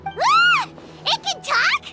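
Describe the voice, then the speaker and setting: Tiny childlike game sidekick, super high squeaky voice, swoops all over, touchy and excitable. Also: female voice, drawn-out words, loud music behind the voice, full character voice. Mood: shocked, indignant. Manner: chirpy, refers to herself in third person. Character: small, excitable, childlike floating companion creature, easily offended. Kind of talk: scripted video game voice acting.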